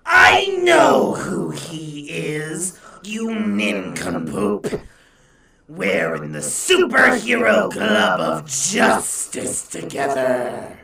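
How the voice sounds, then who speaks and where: A man's voice: on the high side, huge pitch swings, drawn-out words, creaky, bright, forceful tone, heavily acted. A man playing a menacing, indignant armored giant in a comic audio drama, with a grandiose manner and an echo on the voice. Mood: scornful. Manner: grandiose, bellowing threats. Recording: roomy echo on the voice.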